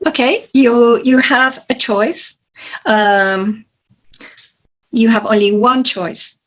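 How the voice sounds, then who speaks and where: A woman's voice: fairly high pitch, slow pace. A woman hosting a casual interview over a video call, asking her questions hesitantly with fillers. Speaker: interview host.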